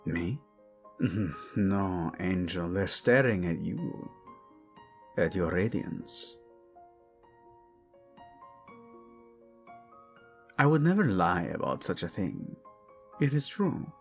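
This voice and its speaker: A man's voice: deep voice, big pitch swings, soft background music, full character voice. A man playing a devoted, hot-tempered yet tender king, speaking intimately and teasingly in a romantic audio roleplay. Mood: adoring, amused. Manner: soft, intimate, teasing, halting.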